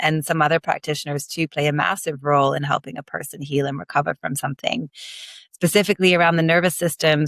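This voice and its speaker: A woman's voice: low voice, a bit bright, no room sound. Fields: Sex: woman. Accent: American-Belgian-British blend. Sound: dry. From Belgium, raised in London. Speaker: manual osteopath guest. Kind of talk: podcast interview.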